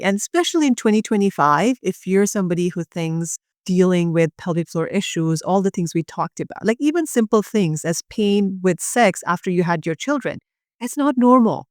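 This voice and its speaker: A woman's voice: medium pitch, no room sound, clean studio sound. Earnest woman doctor explaining plainly. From Bangladesh. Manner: earnest, passionate, many fillers. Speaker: urogynecologist guest. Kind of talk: conversational medical explanation.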